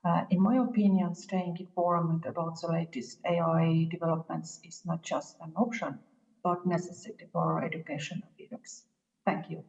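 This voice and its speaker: Woman, low-ish voice, steady measured delivery, muffled reverberant recording. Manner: measured, with frequent "uh" fillers. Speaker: university staff member. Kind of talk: read statement.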